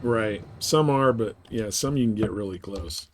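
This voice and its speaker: A man's voice: on the low side, moderate pace, a little hiss or hum. A man chatting casually as he streams a game, laid-back, slangy and prone to swearing. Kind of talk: casual chat with viewers while playing.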